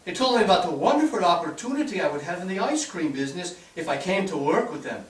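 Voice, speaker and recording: A man's voice: high-pitched, very animated, fast talker. An actor in a staged reading playing an earnest, wistful immigrant who marvels as he recalls his past. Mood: earnest, amazed. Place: theatre stage, recorded from a distance. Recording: echoey stage, distant mic.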